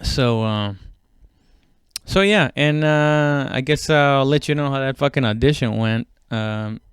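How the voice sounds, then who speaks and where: Clear-voiced man rambling casually. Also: mid-range voice, no room sound, clean studio sound. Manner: informal, halting, heavy swearing. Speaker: stand-up comedian hosting own podcast. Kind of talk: casual rambling solo chat.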